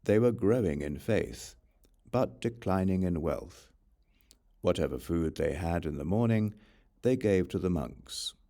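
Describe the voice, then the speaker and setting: Low, mellow male audiobook narrator. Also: animated, vocal fry. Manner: measured, formal recitation. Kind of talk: scripted narration of scripture.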